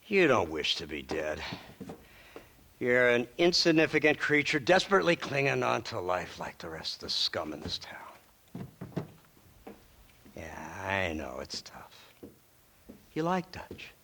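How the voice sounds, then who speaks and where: A man's voice: lowish voice, very animated, measured pace, gravelly, long pauses, pushed and bright, slight background noise, exaggerated voice. A man acting as a smug, sardonic, ruthless American government agent in a western video game, speechifying mockingly with theatrical flair. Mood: mocking contempt. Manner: mocking, rhetorical speechifying with chuckles.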